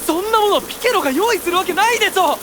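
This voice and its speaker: A woman's voice: extremely high pitch, expressive, racing, background noise. A woman voices a wary, exasperated young knight in an anime audio drama, given to wry questions and retorts.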